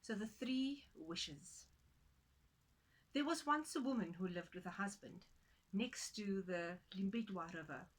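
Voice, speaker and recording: A woman's voice: medium pitch, lively intonation, unhurried, poor audio. A woman storyteller narrating a folktale for children, dramatic and playful in her delivery.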